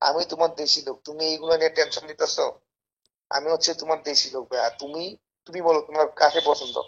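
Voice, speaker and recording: Dull lo-fi call audio, gravelly Bangladeshi man, rapid speech, pushy, persuasive, repetitive sales talk. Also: a little high, little pitch movement, fairly bright tone. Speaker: caller pitching a service as a tout. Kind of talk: informal phone sales pitch.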